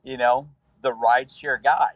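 Brazilian man with a husky voice. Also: mid-range voice, moderate pace, lo-fi sound. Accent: Brazilian Portuguese. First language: Portuguese. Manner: relaxed, rambling, many fillers. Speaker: rideshare driver guest. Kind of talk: casual interview storytelling.

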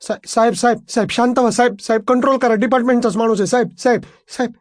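A man's voice: sits high, sing-song, normal speed, a little mellow, husky, no room sound, studio quality, heavily acted. A man voicing an anxious, deferential police subordinate in a crime audio drama, urgent and pleading, often repeating himself. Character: anxious, deferential male police subordinate soothing his boss.

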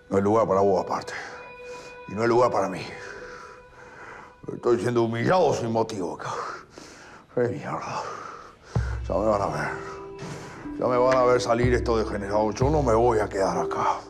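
An actor with a Rioplatense accent playing a proud, desperate gaucho locked up, swinging between soft comfort and furious threats. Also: male voice, on the low side, normal speed, pauses often, over music, natural voice. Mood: bitter. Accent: Rioplatense Spanish. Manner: swings from soft consoling to shouting rage. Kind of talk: drama acting.

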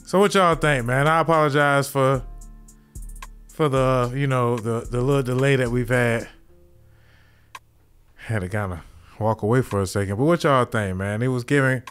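A man's voice: medium pitch, fairly bright tone, clean tone. A man in his thirties hosting a podcast from a small home studio, laid-back and chuckling at his own jokes. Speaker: podcast host. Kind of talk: casual commentary. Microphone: studio mic with headphones.

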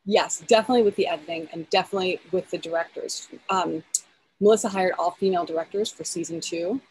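A woman's voice: lowish voice, fast talker, darkish tone, a little hiss or hum, rough recording. Enthusiastic woman on a video call. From United States. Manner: enthusiastic, many restarts and fillers, talks with her hands. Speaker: film editor, guest. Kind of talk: casual interview over video call. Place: outdoors in a backyard.

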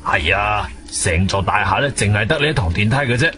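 Hesitant old Cantonese caretaker. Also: male voice, fairly low pitch, lively intonation, a little fast, pushed and bright, faint music behind. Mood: grumbling. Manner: hesitant, mumbling with many hems and sighs. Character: elderly male building caretaker, hesitant, grumbling, uneasy. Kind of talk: radio drama acting.

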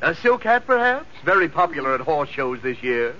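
A man's voice: on the high side, sing-song, moderate pace, bright, forceful tone. An actor playing a fussy, sardonic clothing salesman in a 1940s radio comedy. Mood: dry, sardonic. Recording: dull, hissy old radio recording.

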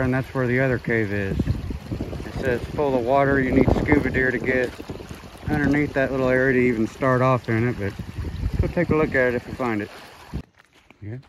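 A man out of breath from walking, narrating a casual outdoor vlog as he explores. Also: mid-range voice. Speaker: vlogger exploring caves. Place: outdoors in woods and hillsides. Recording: handheld outdoor recording with clear noise.

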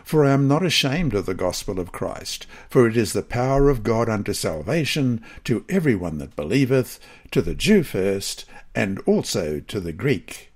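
Husky man reading a devotional lesson. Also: on the low side, sing-song, normal speed, hardly any pauses, darkish tone. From Australia. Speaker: lesson reader. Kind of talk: scripted devotional narration, reading aloud.

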